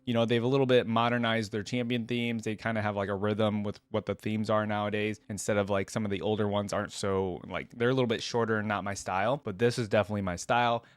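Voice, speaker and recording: A man's voice: fairly low pitch, level tone, quick pace, few pauses, clean tone, dry recording. A man giving casual, conversational reaction commentary in an informal, enthusiastic way. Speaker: reaction video host. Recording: music playing behind the voice. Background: song being reacted to.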